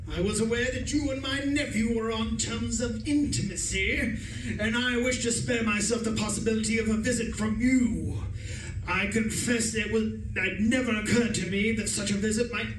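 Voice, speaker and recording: A man acting a pompous, indignant older gentleman in a comic radio play, speaking formally and emphatically. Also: extremely high pitch, normal speed, voice pushed up. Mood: outraged. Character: pompous, outraged older gentleman uncle, stern and imperious. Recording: roomy, distant, noisy live stage recording.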